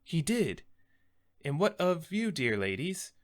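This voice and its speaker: A timid, earnest courtroom pleader played by a man, with a fairly high male voice. He stammers and repeats himself nervously, and his pitch moves around freely, as in a stage play. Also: very animated, slow pace. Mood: heartfelt. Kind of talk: theatrical stage monologue.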